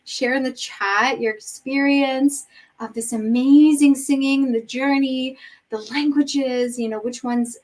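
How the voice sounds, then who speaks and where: Woman hosting a live show, kinda high voice, gushy, reads the chat out loud. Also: fairly even intonation, a little slow. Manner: effusive, gushing praise; reads viewer comments aloud. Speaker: host. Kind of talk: livestream talk show chat.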